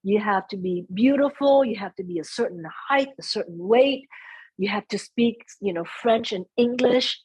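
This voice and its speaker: A soft-spoken middle-aged woman speaking as a guest in an interview, chatting about family, sometimes slipping into Vietnamese phrases. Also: mid-range voice, normal speed, breathy edge, poor audio. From United States. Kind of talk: conversational interview.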